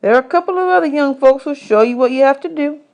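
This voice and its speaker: A woman's voice: high voice, fast, a little mellow, pitched above the performer's own voice. A woman voicing a folksy drifter man in a storybook hobo camp, plainspoken and advising. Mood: welcoming.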